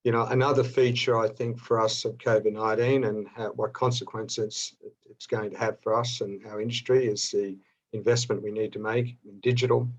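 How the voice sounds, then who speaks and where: A middle-aged Australian man with a fairly low voice speaks as an executive on a panel. He thinks aloud, pausing and reworking his sentences as he goes. The audio is somewhat dull and lo-fi. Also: little pitch movement. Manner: halting and discursive, often rephrasing mid-sentence. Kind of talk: unscripted panel talk.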